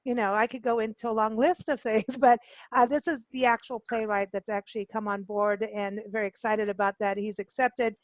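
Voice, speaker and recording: A woman with a fairly high voice hosts a chatty interview show. She is effusive and tends to double up words for emphasis. The sound is very dry, with no room around it. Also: level tone, a little fast, no room sound, low-quality recording. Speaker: radio show co-host and travel publisher.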